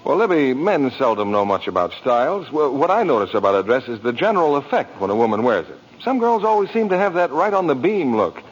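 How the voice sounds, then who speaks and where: A male announcer reads scripted commercial dialogue in 1940s radio style. His medium voice is husky and moves about a lot. The recording is old and dull. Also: medium pitch, very animated, brisk, few pauses.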